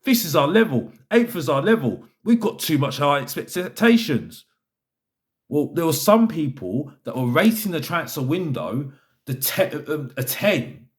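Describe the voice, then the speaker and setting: A man giving an opinionated football fan rant, exasperated and emphatic, repeating his phrases to drive points home. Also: fairly high pitch. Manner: exasperated, fed up.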